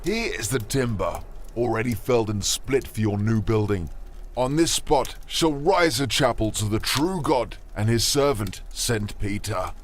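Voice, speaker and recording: A man's voice: lowish voice, lively intonation, unhurried. A man playing a fervent, solemn missionary priest in an audio drama, declaiming in formal archaic speech. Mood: proclaiming, exalted. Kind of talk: audio drama acting of a classic tale.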